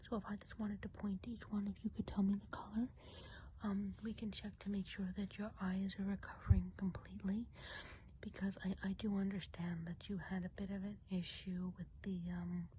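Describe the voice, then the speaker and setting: Woman playing a softly whispering, kindly Christmas witch. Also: medium pitch, muffled recording, a little hiss or hum, natural voice. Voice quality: soft breathy whisper. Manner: gentle, unhurried, chatty, hesitant asides. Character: kindly, chatty female Christmas witch of the woods. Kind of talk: whispered ASMR role play.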